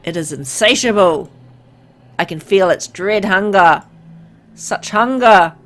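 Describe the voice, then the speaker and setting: A woman's voice: medium pitch, lively intonation, takes it slowly, a bit bright. Woman reading brooding, theatrical narration. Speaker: visual novel reader. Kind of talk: dramatic first-person narration read aloud.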